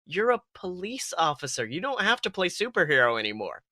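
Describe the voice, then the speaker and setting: A man voicing a young female rabbit police officer, sensible and caring, who teases and gently scolds in a story reading. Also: high-pitched, big pitch swings, a little fast, no echo, studio quality, heavily acted. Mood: concerned scolding.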